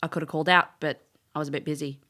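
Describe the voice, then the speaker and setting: Woman acting brash, wry adventurer, lowish voice. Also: steady tone, rapid-fire, sharp tone. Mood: wry, chatty. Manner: chatty, flippant, colloquial. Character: brash, wry female adventurer turned detective, narrating her letter. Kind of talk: audio drama narration and dialogue.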